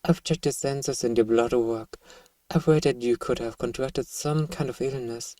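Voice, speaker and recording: A man playing a polite, curious alien researcher in an audio roleplay, speaking in a formal, measured, clinical way. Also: medium pitch, darkish tone, no echo, distant mic, lightly acted. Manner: formal, measured, addresses listener as "human". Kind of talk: scripted audio roleplay monologue, ASMR.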